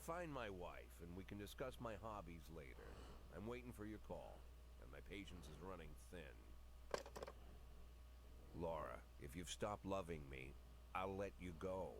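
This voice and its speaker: A low-pitched, world-weary older police chief in a noir video game monologue. He is dry, brooding and bitter, with clear noise behind the recording. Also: very animated, a little slow, full character voice. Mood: tired, pleading. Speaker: video game narrator and lead character.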